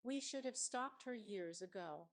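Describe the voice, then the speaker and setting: A woman's voice: mid-range voice, expressive, unhurried, close to an everyday voice. A woman narrator voicing an earnest, formal male board member standing up to a bully in a story.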